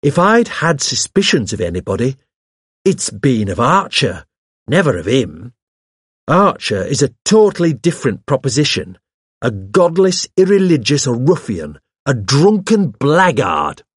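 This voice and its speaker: A nervous, obstinate man, acted by a male narrator in an audiobook story, pitched well up and agitated. He presses his points eagerly in a husky, shrill voice that swings restlessly. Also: big pitch swings, normal speed, a little mellow, dry recording, clean studio sound. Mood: indignant. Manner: fidgety, eager, insistent. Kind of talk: audiobook character voice.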